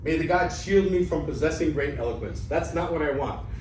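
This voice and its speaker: A man's voice: on the high side, fairly quick, a little mellow, lightly acted. A man playing an earthy, bumbling, debt-ridden would-be student in a theatrical reading of an ancient Greek comedy, with exclamatory delivery. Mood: eager, pleading. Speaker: amateur actor in a staged play reading. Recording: echoey room, distant mic, background noise.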